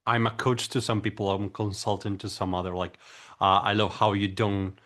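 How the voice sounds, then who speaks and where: Brief: male podcast host, slightly low voice, halting questions with frequent restarts. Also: flat delivery, a bit bright. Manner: hesitant, repeats words while asking questions. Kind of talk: podcast interview.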